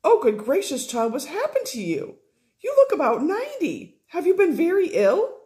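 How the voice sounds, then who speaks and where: A woman reading aloud voices a prosperous young stepmother, high, breathless and effusive. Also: wildly swooping pitch, moderate pace, dark tone, heavily acted. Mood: gushing, tearful.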